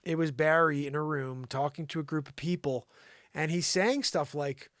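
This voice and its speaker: A man's voice: a little high, normal speed, a bit bright, no echo, clean studio sound. A man hosting a live show with casual, slangy, rambling comedic commentary, often thinking aloud. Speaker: live variety show host.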